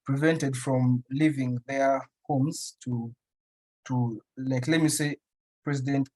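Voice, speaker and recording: A man's voice: mid-range voice, steady tone, takes it slowly, mellow, low-quality recording. A young man from Uganda, answering interview questions casually and thoughtfully, with frequent restarts and fillers. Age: young adult. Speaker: interview guest, writer.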